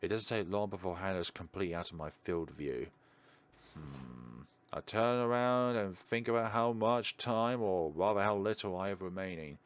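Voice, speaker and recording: Low-voiced man, animated game commentary. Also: moderate pace. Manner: expressive dramatic reading with chatty asides. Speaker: gaming video host. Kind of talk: let's play commentary, reading visual novel text aloud. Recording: music behind the voice. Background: game music.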